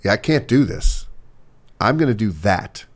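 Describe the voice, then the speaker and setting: A man's voice: on the low side, expressive, unhurried. A man in his forties speaking candidly and earnestly as a podcast guest, often repeating himself and checking in with "right?". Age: 40s. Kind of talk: candid conversational interview. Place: podcast studio.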